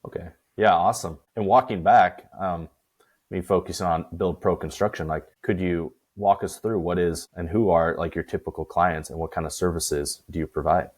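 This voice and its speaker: A man's voice: low-pitched, fairly even intonation, moderate pace. A man hosting a podcast interview, asking friendly questions in an upbeat way. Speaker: podcast host.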